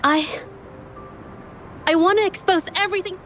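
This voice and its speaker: A woman's voice: very high-pitched, normal speed, fairly bright tone, faint music behind. A woman voicing an earnest, resolute young female painter in a video game, hesitant at times.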